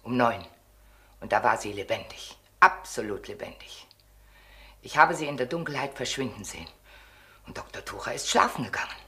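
Very low-voiced, insistent witness. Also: female voice, animated, normal speed, long pauses, pushed and bright, exaggerated voice. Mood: insistent, defensive. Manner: emphatic, clipped, insistent. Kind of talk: German radio crime drama acting.

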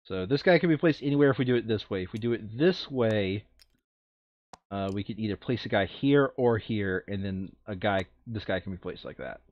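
A man's voice: on the low side, animated, normal speed, dry recording, lo-fi sound. A man running a board game playthrough in casual, crude, joking chat, now and then reading game text aloud. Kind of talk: casual gaming chat with bits of read-aloud flavour text.

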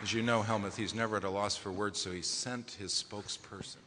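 A man presiding as society president, giving a formal award ceremony speech in a measured way with frequent hesitation fillers. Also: fairly low pitch, fairly even intonation, over a noisy crowd, heavy background noise. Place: large conference hall. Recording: echoey conference hall.

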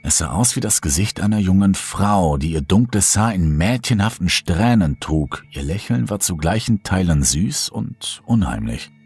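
A man's voice: sits low, animated, moderate pace, vocal fry, few pauses, a little mellow, breathy edge, soft background music. A man reading a first-person horror story as a scripted audiobook narration.

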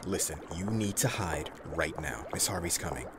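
A man's voice: sits low, expressive, normal speed. A man playing a loyal, anxious right-hand employee in an audio roleplay, earnest and respectful. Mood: alarmed. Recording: roomy echo, faint background noise.

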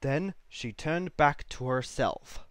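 A man's voice: mid-range voice, expressive, slow pace, clean tone, no echo. A man narrates a scripted story in a mid-range voice. The sound is dry, with no room around it.

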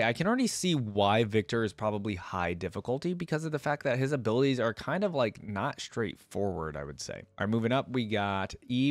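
A man's voice: lowish voice, dry recording. A young adult man gives casual, conversational game reaction commentary, thinking aloud into a close microphone. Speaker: reaction video host. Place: small indoor room at a desk. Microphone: close desk microphone with headset worn.

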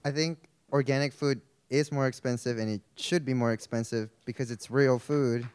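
A young man answers from a conference stage into a handheld mic. His voice is smooth and resonant, at a medium pitch. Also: steady tone, normal speed, darkish tone. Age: 20s. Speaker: service corps member on panel. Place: conference hall stage, indoors. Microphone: handheld microphone.

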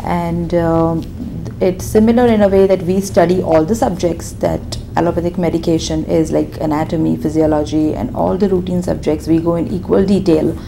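A woman in her thirties from India with a Gujarati accent, answering questions in a deposition in a conference room, soft-spoken and hesitant. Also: on the low side, fairly even intonation, normal speed, vocal fry, few pauses, slight background noise. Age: 30s. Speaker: deposition witness, physician assistant. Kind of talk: answering questions under oath.

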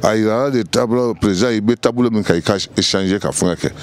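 Give me a middle-aged Malian man in a street interview, speaking into a handheld mic outdoors, emphatic but halting. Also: on the low side. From Mali. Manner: emphatic, heavy hesitations. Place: outdoors in a courtyard. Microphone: handheld mic held by interviewer.

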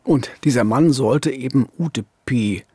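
A man in his fifties with a slightly husky voice co-hosts true-crime storytelling in a conversational way, close on a studio microphone. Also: mid-range voice, a little slow, darkish tone, dry recording. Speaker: true-crime podcast co-host. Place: dark radio studio. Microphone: studio microphone on boom arm, headset monitoring.

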